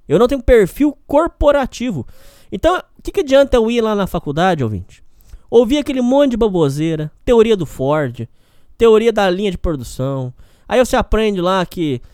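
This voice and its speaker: Animated rambling man with a countryside lilt. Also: high-pitched, clean tone, no room sound, studio quality. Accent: Brazilian countryside (caipira). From Brazil. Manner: rambling and repetitive, speaks straight to the listener. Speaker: podcast host. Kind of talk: casual solo podcast monologue.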